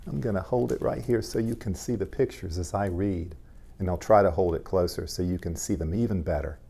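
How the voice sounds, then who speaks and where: A man, a pastor, reading aloud to young children in gentle, simple language and addressing them directly. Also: low voice, fairly quick, gravelly, breathy edge.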